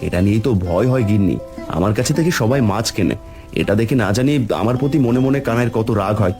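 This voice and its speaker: A man voicing an honest, hardworking poor fisherman in a cartoon story, gentle but worried. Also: lowish voice, expressive, fast talker, faint music behind. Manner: warm, worried. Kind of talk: cartoon voice acting.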